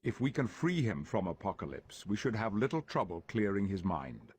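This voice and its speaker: Calm professor leader, low voice but expressive, formal, game briefing. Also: male voice. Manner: measured, formal. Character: calm, authoritative male professor leading a hero team. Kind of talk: video game cutscene acting.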